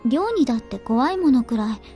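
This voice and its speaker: An actress voicing a gentle, childlike young woman in a visual novel, speaking softly and wistfully. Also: high voice, sing-song, rapid-fire. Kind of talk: anime visual novel voice acting. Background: soft game music.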